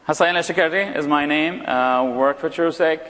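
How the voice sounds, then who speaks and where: A man whose first language is Arabic, presenting a live security demo at a conference and often pausing with fillers and restarts. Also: on the high side, a bit bright. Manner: hesitant, many fillers and restarts. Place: large conference hall. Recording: long hall reverb.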